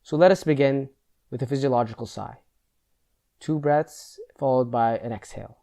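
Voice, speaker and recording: A man leading a guided meditation, giving slow instructions in a measured, gentle, instructional manner. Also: medium pitch, creaky.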